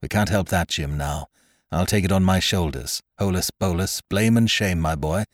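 A man reading aloud and voicing a stern but kindly older male doctor, speaking gravely and sadly. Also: low voice, dry recording, studio quality. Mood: urgent. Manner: grave, sad, measured.

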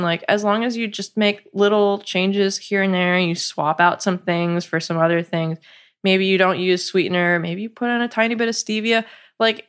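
A woman guest talking casually in an interview, chatty and quick to laugh, with plenty of fillers. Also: medium pitch. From United States, partly raised in South Korea. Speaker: podcast guest, cookbook coauthor.

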